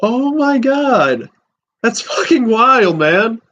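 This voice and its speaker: Excitable young man, dull lo-fi stream audio. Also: extremely high pitch. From USA. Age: 20s. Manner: excitable, swearing, exclaiming, halting restarts. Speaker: podcast host livestreaming. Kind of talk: excited casual livestream chat. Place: small room at home with a fish tank. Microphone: laptop and handheld phone.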